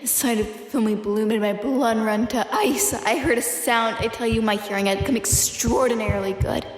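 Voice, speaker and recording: A woman's voice: on the high side, steady tone, moderate pace, faint music behind, natural voice. A woman playing an unhinged killer who insists on being sane, in an intense, dramatic gothic horror audio play. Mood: tense, frenzied. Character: unhinged killer insisting on their own sanity. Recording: echoey room with long reverb.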